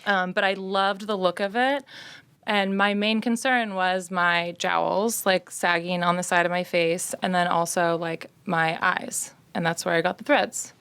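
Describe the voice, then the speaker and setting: A woman's voice: fairly low pitch, steady tone, a little slow, a bit bright, smooth. A woman in her thirties telling her story as a guest in a casual interview, in an earnest, reflective way. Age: 30s. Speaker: podcast guest, patient.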